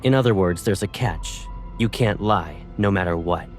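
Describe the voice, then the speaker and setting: A man plays a young space-fleet colonel with a very low voice. He is cold and controlled, giving orders with measured, quiet menace in a dramatic game style. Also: soft background music, lightly acted. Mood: coolly commanding. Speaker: voice actor in a game cutscene.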